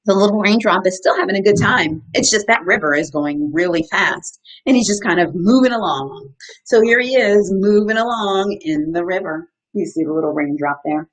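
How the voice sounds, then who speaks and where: A woman in her thirties hosts a story time for children. Her voice sits at a middle pitch, and she moves between reading aloud and chatty explanation. She speaks in an animated way, checking in with simple questions for young listeners. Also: normal speed, rough recording. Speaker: outdoor educator reading to children.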